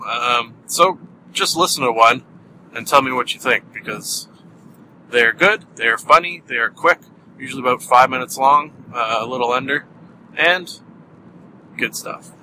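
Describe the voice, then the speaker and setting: A man's voice: mid-range voice, sharp tone, slight background noise, poor audio. A man with a Canadian accent hosting a casual solo podcast, rambling with many fillers and small chuckles. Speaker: podcast host.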